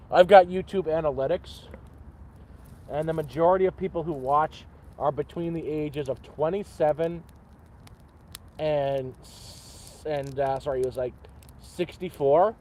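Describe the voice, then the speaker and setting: A man's voice: on the high side, moderate pace, a little mellow. Rambling man in his thirties at a campfire. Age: 30s. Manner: rambling, swears, talks to chat. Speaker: livestream host. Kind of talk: casual livestream chat. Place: outdoors at a campfire.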